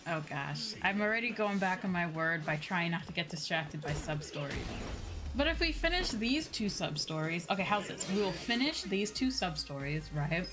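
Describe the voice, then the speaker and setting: Chatty, expressive woman streaming a game. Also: fairly low pitch, moderate pace. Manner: chatty, expressive, casual swearing. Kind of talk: casual game livestream commentary, reading on-screen dialogue aloud. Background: game music.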